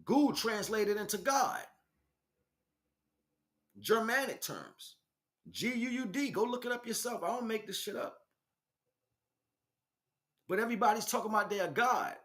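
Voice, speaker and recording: A man's voice: high voice, sing-song, a little slow, long pauses, a bit bright. An American man delivering an informal spiritual monologue as a teacher, speaking emphatically in streetwise slang and addressing listeners directly.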